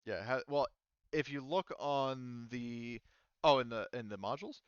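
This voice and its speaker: A man running a tabletop game, explaining rules in a casual, halting, hedging way. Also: mid-range voice, smooth, dry recording, highs cut off. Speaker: game master.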